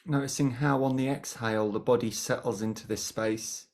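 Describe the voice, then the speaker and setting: A meditation teacher guides a practice at a middling pitch. The delivery is gentle and unhurried, and short phrases are separated by long pauses. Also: steady tone.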